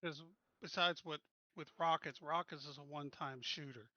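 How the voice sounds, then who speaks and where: A man's voice: on the high side, fairly even intonation, normal speed, bright, forceful tone, no room sound, highs cut off. A man chatting casually as a player in a tabletop game.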